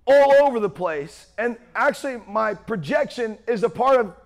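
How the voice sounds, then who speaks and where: A young man preaching a sermon with a worn-out, strained voice and a loud, projecting manner. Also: very high-pitched, animated, moderate pace. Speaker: pastor.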